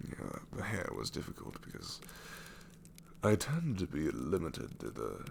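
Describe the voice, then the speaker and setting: A man voicing a sassy male shadow demon in an ASMR roleplay, snarky and rambling with soft whispered asides. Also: deep voice, wildly swooping pitch, measured pace, creaky, background noise, full character voice.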